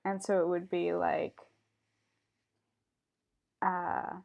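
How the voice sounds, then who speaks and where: A woman guest chatting casually, relaxed and loose, with fillers. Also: lowish voice, extremely slow, vocal fry, phone-quality sound. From Czech-speaking home. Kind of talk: casual chat.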